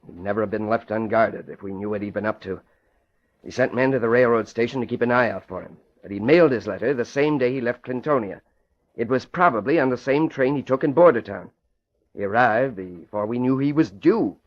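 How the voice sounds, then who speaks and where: An actor playing a brisk, worried federal agent in an old radio drama, questioning in an official manner. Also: male voice, mid-range voice, lightly acted. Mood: businesslike. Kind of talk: 1940s radio acting. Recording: muffled old radio recording.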